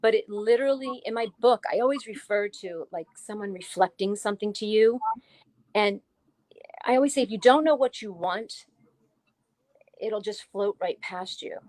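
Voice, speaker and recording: A woman's voice: mid-range voice, moderate pace, no room sound. Chatty woman in her forties. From New Jersey, US. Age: 40s. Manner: chatty, animated storyteller, laughs often. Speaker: guest, author. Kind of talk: casual interview storytelling.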